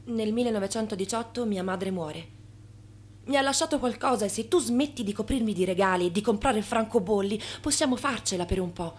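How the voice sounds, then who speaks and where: An actress playing a yearning, reproachful young wife in radio theatre, speaking in a plaintive, insistent way. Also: female voice, medium pitch, fairly quick, close to an everyday voice. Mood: sober.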